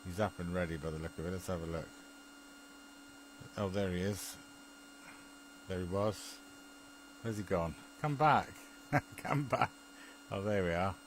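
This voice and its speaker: Low-voiced British man chatting over background hum. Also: measured pace, pauses often, low-quality recording. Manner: chuckly, muttering to himself while operating. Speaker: amateur radio TV operator. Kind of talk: rambling casual chat over amateur television link.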